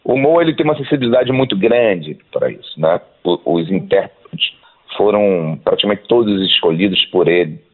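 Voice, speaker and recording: A man's voice: low voice, lively intonation, moderate pace. A man in his fifties, a songwriter and author, answering interview questions in a hesitant, casual way, often restarting and tagging lines with a question. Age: 50s. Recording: tinny phone line.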